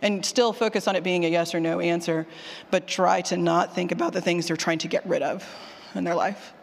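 A speaker giving an informal conference talk on writing, with animated asides and questions repeated back to the audience. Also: mid-range voice, fairly quick, creaky, slight background noise. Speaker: presenter, fiction podcast writer. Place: conference session room. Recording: hall with long reverb.

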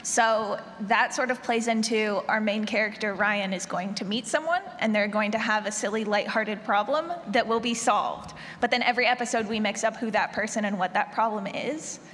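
A woman's voice: mid-range voice, normal speed, hardly any pauses, a bit bright. A woman speaking casually as a panelist and podcast creator. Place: large room at a convention panel. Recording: echoey hall, clear background noise.

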